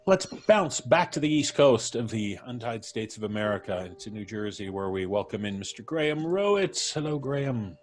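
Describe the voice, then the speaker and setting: A man's voice: lowish voice, sing-song, moderate pace, hardly any pauses. A man hosting a live stream with casual banter and jokey, playfully formal introductions. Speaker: host of a podcast live stream.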